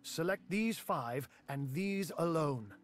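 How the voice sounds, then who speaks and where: An actor playing an ancient, enigmatic wizard in a fantasy video game, speaking in a measured, theatrical and wryly weary way. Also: male voice, on the high side, expressive, faint music behind. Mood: solemn, cryptic.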